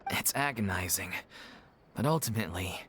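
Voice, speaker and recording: Earnest, weary stage troupe member. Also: male voice, low voice, animated, moderate pace, husky, exaggerated voice. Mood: resigned, pained. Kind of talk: video game voice acting. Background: soft game music.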